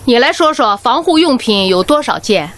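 An actress playing a strict but caring head nurse in a radio drama, brisk and commanding, nagging her team. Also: fairly high pitch, very animated, background noise. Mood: stern, testing. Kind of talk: Chinese radio drama acting.

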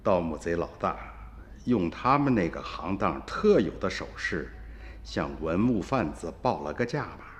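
A male narrator telling a radio drama in a steady storytelling manner. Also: low voice, normal speed, a little hiss or hum.

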